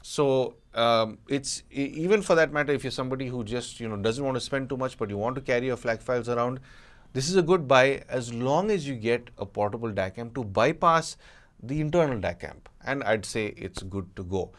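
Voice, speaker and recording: A man's voice: medium pitch, expressive, a little fast. A man giving a casual, unscripted product review as an audio gadget reviewer, conversational and hedging with colloquial asides.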